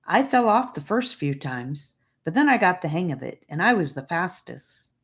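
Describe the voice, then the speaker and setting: A woman voicing a boastful, teasing young boy in an audiobook, speaking in an animated way. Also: lowish voice, moderate pace. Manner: animated, bragging. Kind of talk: audiobook character voice.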